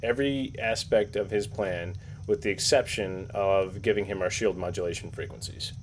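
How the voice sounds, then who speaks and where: A man's voice: low voice, moderate pace. A man at a tabletop game, mixing casual chat with lines spoken as a starship captain. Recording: clearly audible background noise.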